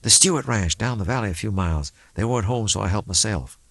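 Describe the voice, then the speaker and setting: A man voicing a tough, defiant woman deputy sheriff in an audiobook, brisk and curt. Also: lowish voice, animated, creaky, a bit bright, no echo, heavily acted. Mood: brisk, wry.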